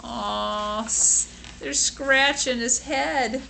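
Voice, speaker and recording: A woman reading a picture book aloud to children in an expressive, sympathetic way, with informal asides. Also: high voice, drawn-out words, vocal fry, slight background noise, low-quality recording. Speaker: storybook reader.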